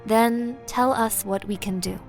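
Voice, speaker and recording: A man's voice: high-pitched, steady tone, unhurried, vocal fry, faint music behind, voice pushed up. A man voicing a stern young woman ninja leader who speaks with firm command. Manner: commanding, reproachful.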